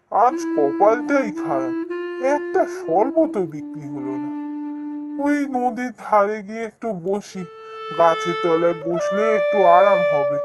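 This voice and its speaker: A woman voicing a poor, kindly, downcast male street seller in a cartoon fairy tale, with a homely, plaintive delivery. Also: lowish voice. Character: poor, downcast, kindly husband who sells sherbet.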